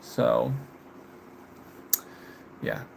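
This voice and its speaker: A man in his mid-twenties chatting casually on a live stream, halting and reflective, often trailing off. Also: a little mellow, a little hiss or hum, poor audio. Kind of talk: casual live stream chat answering viewers. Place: room with window blinds, indoors.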